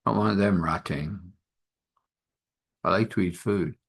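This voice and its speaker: A man's voice: deep voice, a little slow, gravelly, breathy edge, full character voice. A man voicing a tired, big male being who talks in plain, childlike short sentences. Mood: tired, simple. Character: weary, simple-talking big male being.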